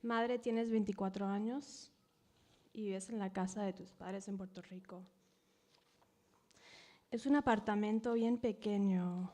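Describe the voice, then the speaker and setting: A woman in her thirties performing poetry at a live reading, mixing English and Spanish, swinging between hushed whispers and loud cries. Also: medium pitch, fairly even intonation, moderate pace, pauses often. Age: 30s. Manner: dramatic, shifting from whispers to screams. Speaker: poet reading at a live event.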